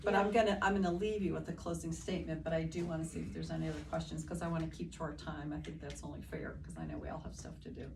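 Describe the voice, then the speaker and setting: A woman, a real estate agent giving a chatty, animated live seminar talk in an office meeting room. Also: low-pitched, racing, creaky, hardly any pauses. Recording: distant mic with room noise.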